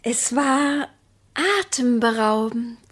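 An actress in a German radio play voicing a distrustful, needling wife, speaking in a measured, pointed way with hushed phrases. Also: female voice, a little high, fairly even intonation, slightly rough.

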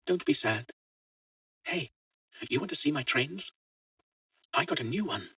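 A man's voice: a little high, animated, measured pace, sharp tone, breathy edge, dry recording, recorded from a distance, pitched above the performer's own voice, exaggerated voice. A man voicing a fearless, chatty eleven-year-old boy who talks simply and matter-of-factly in a story. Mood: kindly. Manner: simple, childlike, matter-of-fact. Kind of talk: audio short story dialogue.

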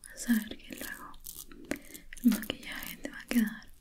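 A woman in a whispered ASMR spa roleplay, playing a gentle, reassuring facialist with a soft, breathy voice. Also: a little slow. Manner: gentle, reassuring, uses affectionate diminutives.

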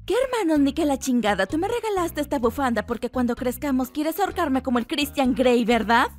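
A bossy, obsessive anime girl, acted by a woman, with a high voice that swings widely in pitch. She is intense, jealous and demanding in a comic parody dub, with light music behind her. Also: lively intonation, fairly quick. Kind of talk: comedic fandub parody acting.

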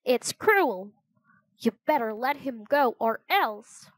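A woman's voice: sits high, lively intonation, unhurried, no room sound, pitched above the performer's own voice. A woman voicing a young boy hero in a children's story, earnest and plain-spoken, scared but brave. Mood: steady, defiant.

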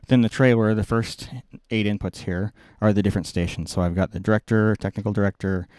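A man's voice: on the low side, steady tone, quick pace, darkish tone, dry recording. A middle-aged man giving an informal technical walkthrough, explaining step by step and often correcting himself as he goes. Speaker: video production engineer giving a tutorial. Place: small indoor production control room. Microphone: close boom-mounted microphone.

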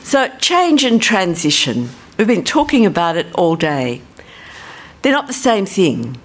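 A woman with an Australian accent giving a conference presentation as a professor, relaxed and self-deprecating, thinking aloud with fillers. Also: mid-range voice, measured pace.

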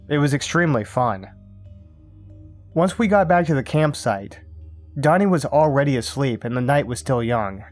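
A man narrates a creepy campfire tale in a steady, unhurried way. His voice sits at an ordinary middle pitch, recorded close and dry. Faint music plays underneath. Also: dry recording. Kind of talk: scripted horror story narration.

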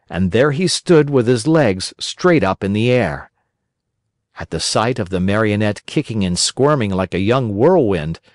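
A man's voice: mid-range voice, dry recording, studio quality. A man narrating a children's story as a scripted audiobook.

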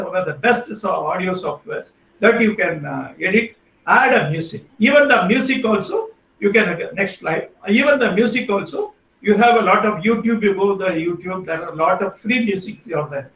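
A man with an Indian English accent explains things in an online workshop, his speech halting and full of fillers. His voice sits high for a man. He sounds set back from the mic in a roomy, echoey space, as on a video call. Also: a bit bright, distant mic. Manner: hesitant, many fillers and restarts. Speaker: guest speaker on podcasting.

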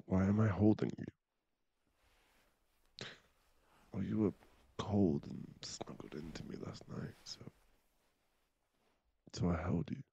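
A young man playing a teasing, bashful bad-boy werewolf boyfriend in an intimate ASMR roleplay, halting and murmured. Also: low-pitched, animated, vocal fry, a little mellow, slightly rough, dry recording, lo-fi sound, full character voice. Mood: sleepy, tender. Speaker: voice actor in an audio roleplay.

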